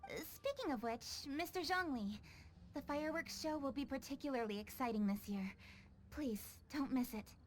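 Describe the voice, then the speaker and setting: A woman's voice: high-pitched, lively intonation, moderate pace, a little hiss or hum. An actress playing a polite, efficient, dutiful young lady official in a video game cutscene, courteous and formal. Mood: businesslike, friendly. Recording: faint music behind the voice. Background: background music.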